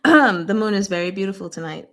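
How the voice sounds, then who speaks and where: A woman with a mid-range voice talks through a book in a casual, chatty style. She is animated and giggly, retelling scenes in loose slang and slipping into the dialogue as she goes. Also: mid-range voice, fast talker. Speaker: host of a book discussion.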